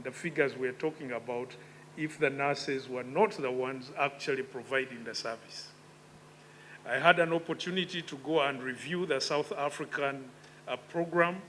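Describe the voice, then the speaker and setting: Man in his fifties, conference keynote, somewhat high voice, deliberate and reflective, faint hum underneath. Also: fairly bright tone, rough recording. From Uganda. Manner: measured, reflective, with emphatic rises. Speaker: conference speaker. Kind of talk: conference speech. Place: conference hall.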